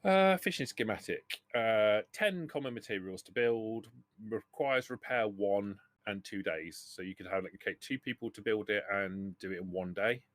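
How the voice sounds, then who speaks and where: A man's voice: on the low side, moderate pace, fairly bright tone, dry recording. A man acting as game master, talking casually as he runs a tabletop roleplaying game.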